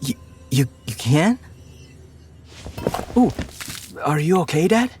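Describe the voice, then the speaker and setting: A young man voicing a sweet, earnest grown son, an animal character in a motion comic, gentle and a little hesitant, with a soft, breathy voice. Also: a little high, big pitch swings, takes it slowly, over music. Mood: concerned, curious.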